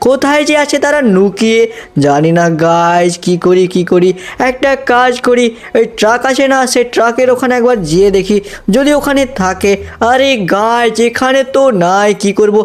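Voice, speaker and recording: A man's voice: very high-pitched, normal speed, clear, resonant tone. A man hosting a gaming video, telling the story as he plays and constantly addressing viewers with exclamations. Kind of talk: excited gameplay storytelling commentary.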